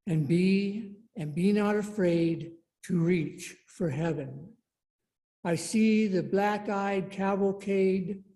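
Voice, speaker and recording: Casting: female worship leader, unhurried and soft-edged in tone, reading deliberately to a congregation. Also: fairly low pitch. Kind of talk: church announcements and a read meditation.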